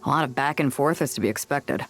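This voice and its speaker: A woman's voice: very deep voice, quick pace. A woman voicing an easygoing, friendly ally in a video game. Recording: music behind the voice. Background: game music.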